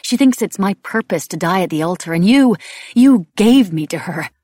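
A desperate, irreverent young woman in an audiobook, played by a woman narrator. Her voice is husky and fairly low with a gravelly edge. She speaks in halting, emotional pleas, bitter underneath. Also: no room sound, clean studio sound. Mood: angry, accusing. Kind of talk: audiobook character dialogue.

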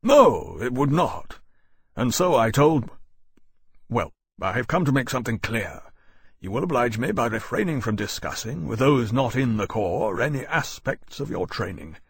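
A man voicing a domineering senior military commander in an audiobook, speaking in a sharp, curt, authoritative way. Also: mid-range voice, big pitch swings, moderate pace, gravelly, slightly rough, dry recording, full character voice. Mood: stern.

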